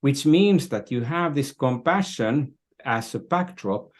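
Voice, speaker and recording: An older man from Finland talks as a podcast guest at a slow pace. His pitch sits in the middle for a man, and he sounds earnest and deliberate, often halting to find the next phrase. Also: lively intonation. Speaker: podcast guest, business strategist. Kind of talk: conversational interview, explaining views.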